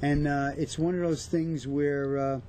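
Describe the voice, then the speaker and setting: A man's voice: fairly high pitch, fairly even intonation, unhurried, warm tone, a little hiss or hum. A man, an actor chatting casually as an interview guest. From New York/New Jersey area.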